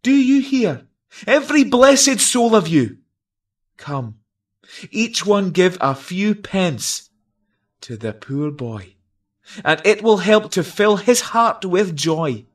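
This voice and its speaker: A man's voice: high-pitched, animated, takes it slowly, long pauses, full character voice. A man voicing a poor but kind woodcutter who indignantly urges a crowd to act, in a recited poem. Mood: indignant urging. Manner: loud, urgent, commanding appeal.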